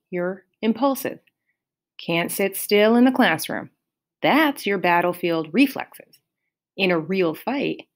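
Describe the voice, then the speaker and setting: A woman reading aloud and voicing a confident teenage girl who explains things in an earnest, quick way. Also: medium pitch. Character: confident teenage girl camper, know-it-all.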